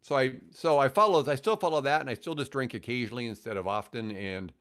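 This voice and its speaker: A man's voice: mid-range voice, quick pace, a bit bright, clear, resonant tone. An older man telling stories casually as a podcast guest, in a joking, rambling way. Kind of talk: casual chat, storytelling.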